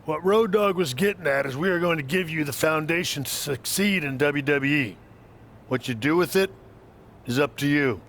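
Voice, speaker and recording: Calm matter-of-fact wrestling coach in a game, voice a bit high, background noise. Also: male voice, moderate pace. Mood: explanatory. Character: composed, matter-of-fact male wrestling trainer. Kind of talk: video game cutscene acting.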